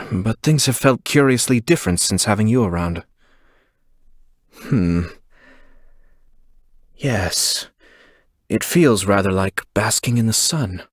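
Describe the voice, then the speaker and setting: A composed young lord from a video game, speaks in a low male voice, very close and dry. He is courteous and measured, with a sly, calculating undertone beneath the formal politeness. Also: expressive, breathy edge, no room sound, full character voice. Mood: relaxed, reflective. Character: refined young nobleman, composed, courteous, slyly calculating clan leader. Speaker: voice actor. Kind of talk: scripted video game character voice lines.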